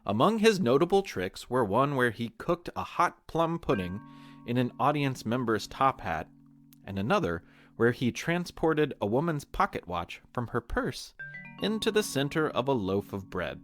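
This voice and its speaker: Animated American man hosting a playful quiz. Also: mid-range voice, moderate pace, soft background music. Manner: playful, talking straight to listeners. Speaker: podcast host and quizmaster. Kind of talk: playful scripted quiz narration.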